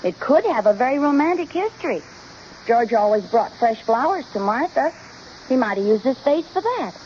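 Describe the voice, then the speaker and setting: A muffled old radio recording with faint noise carries a determined suburban wife. Her high, very lively voice coaxes and teases drily in vintage domestic comedy style. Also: moderate pace. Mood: proud, insistent. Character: genial but determined suburban housewife, gently teasing. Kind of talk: 1950s radio sitcom acting.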